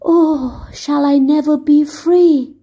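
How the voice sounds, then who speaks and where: A woman reading a storybook aloud, voicing a gloomy male egg prince who talks in a slow, mournful, drawn-out way. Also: high voice, dark tone, pitched above the performer's own voice.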